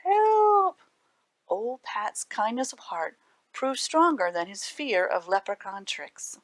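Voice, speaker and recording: Expressive woman reading stories aloud. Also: medium pitch, dry recording. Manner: animated, dramatic, deliberate phrasing. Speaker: library storytime reader. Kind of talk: expressive read-aloud storytelling for children.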